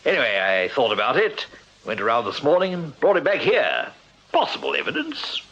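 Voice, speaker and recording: An actor playing an eager, blustering retired Victorian army major in a radio drama, with a hearty upper-class English delivery. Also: male voice, medium pitch, wildly swooping pitch, brisk, a bit bright, a little hiss or hum. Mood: hearty, confiding. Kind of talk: radio period drama acting. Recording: slightly dull radio drama recording.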